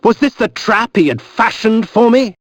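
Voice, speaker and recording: A man's voice: high-pitched, lively intonation, normal speed, no echo. An actor playing a brooding, haughty vampire nobleman, narrating a video game in a grave, theatrical, eloquent manner. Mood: brooding realization. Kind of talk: dramatic scripted game narration.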